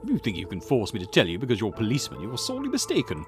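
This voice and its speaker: A man's voice: fairly low pitch, wildly swooping pitch, racing, over music. A man voicing a conceited, irritable historian and occult researcher in a visual novel, pompous, snappish and condescending. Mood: indignant, snappish. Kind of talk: acted visual novel dialogue.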